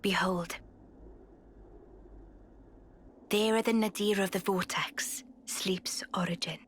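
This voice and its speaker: An actress playing a calm, knowing queen who speaks in a measured, formal way. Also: mid-range voice, slight background noise, close to an everyday voice. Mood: hushed. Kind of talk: video game cutscene voice acting.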